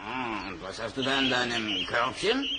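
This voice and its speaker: An actor playing a sly, greedy wolf in an old record radio play, chuckling to himself. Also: male voice, medium pitch, sing-song, normal speed, close to an everyday voice. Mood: sly friendly. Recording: vinyl record, faint hiss.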